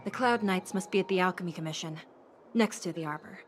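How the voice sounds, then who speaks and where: A woman's voice: fairly low pitch, fast talker, soft background music, lightly acted. A woman voicing a solemn female judge in a fantasy video game, with a formal, grave manner.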